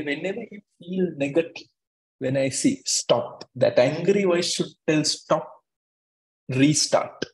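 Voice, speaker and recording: Guy coaching exam students online, kinda high voice, says things twice for emphasis. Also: poor audio. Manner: earnest, repeats words for emphasis. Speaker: exam tutor.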